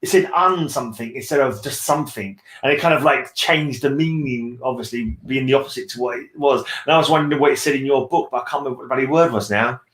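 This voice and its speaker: A man's voice: medium pitch, quick pace, few pauses, a bit bright, roomy sound, poor audio. A man chatting casually as host of a book read-along, rambling and repeating phrases as he searches for words. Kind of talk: casual book discussion chat.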